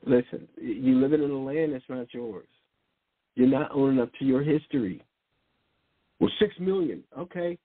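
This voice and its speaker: A man's voice: mid-range voice, moderate pace, long pauses, slightly rough, no room sound. A man preaching informally in a rambling, blunt way, often repeating words and restarting. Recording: tinny phone-like sound, dry.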